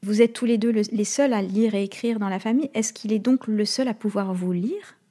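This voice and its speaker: A woman interviewer with a mellow, warm tone and a mid-range voice. She builds her questions carefully and at length, speaking in a calm, attentive way. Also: medium pitch, a little fast. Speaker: interviewer, host. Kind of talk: long-form interview questions.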